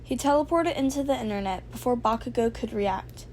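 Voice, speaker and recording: A woman reading fan fiction aloud as an amateur narrator, in an expressive storytelling style. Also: fairly high pitch, brisk. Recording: faint background noise.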